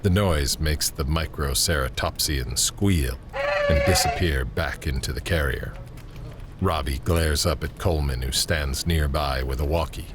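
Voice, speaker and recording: A man narrating an audio drama in measured, descriptive scripted prose. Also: a bass voice, noisy background. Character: male narrator setting scenes, measured and descriptive. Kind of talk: scripted present-tense scene narration.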